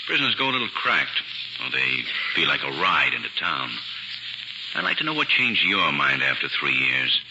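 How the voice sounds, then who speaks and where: A shrewd prosecutor with a deep voice that rises and falls a lot as he probes. The sound is a muffled old radio broadcast full of hiss. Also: very animated, normal speed. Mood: probing. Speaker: actor in a radio play. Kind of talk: 1940s radio drama acting.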